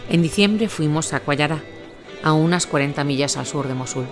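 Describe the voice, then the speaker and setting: Male war reportage narrator over clear music. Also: on the high side, moderate pace. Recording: music bed under voice.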